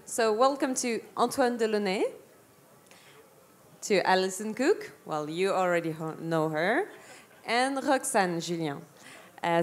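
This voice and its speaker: A woman in her thirties moderating a live panel on an indoor festival stage, speaking into a handheld microphone. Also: medium pitch.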